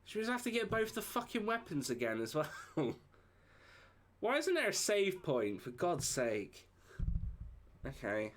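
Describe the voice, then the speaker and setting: A man's voice: sits high, huge pitch swings, a little slow, pauses often, a bit bright. A man streaming a video game live, chatting casually, swearing freely and laughing often. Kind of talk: casual live gameplay commentary. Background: game music.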